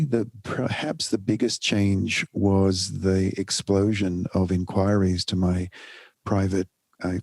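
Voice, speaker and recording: A middle-aged man from the United States, a family counselor, speaking thoughtfully in a podcast interview with many pauses and restarts. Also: sits low, gravelly, a little mellow, slightly rough, dry recording.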